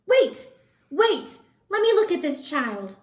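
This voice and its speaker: A woman's voice: very high voice, very animated, takes it slowly, a little mellow, echoey room, pitched above the performer's own voice, exaggerated voice. A woman voicing a tender, emphatic mother bat in a storybook read-aloud. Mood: urgent.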